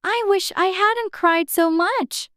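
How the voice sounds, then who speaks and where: Little storybook girl, extremely high voice, fretful, prim, chatty, close dry sound. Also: takes it slowly, fairly bright tone, no room sound, heavily acted. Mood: regretful. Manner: fretful, talking to herself in prim childish exclamations. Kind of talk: dramatized audiobook character acting.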